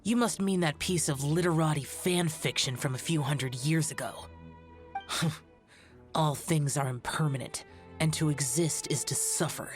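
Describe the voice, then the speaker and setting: A man's voice: on the high side, measured pace, lightly acted. A male actor playing a stoic, youthful immortal demon-slayer in an anime video game, speaking in a grave, formal, aloof way. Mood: stern, detached. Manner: formal, grave, archaic phrasing. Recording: music behind the voice.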